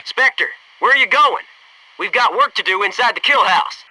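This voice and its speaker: A man's voice: high voice, lively intonation, normal speed, sharp tone, distant mic, a little hiss or hum, exaggerated voice, rough recording. A man voicing a cocky, wisecracking Navy SEAL squadmate in a military shooter video game, brash and sarcastic. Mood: nagging. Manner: brash, jokey, sarcastic banter and crisp tactical calls.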